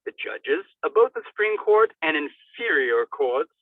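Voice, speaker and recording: A man's voice: fairly high pitch, very animated, measured pace, dry recording. A man giving a hammy, theatrical dramatic reading, stumbling over words and dropping in comic asides. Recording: thin phone-like band-limited sound.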